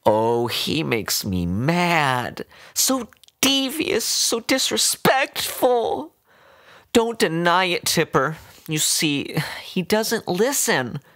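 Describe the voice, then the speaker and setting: A man voicing an unhinged, doting woman who fusses over her pet in a high, breathy, wavering voice. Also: big pitch swings, very slow, fairly bright tone, dry recording, studio quality, full character voice. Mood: cooing.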